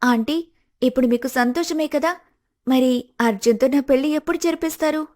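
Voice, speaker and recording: A woman voicing a confident young woman, a loyal friend in love, speaking directly in an animated family story. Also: high-pitched, brisk, close to an everyday voice. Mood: insistent. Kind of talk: animated moral-story dialogue.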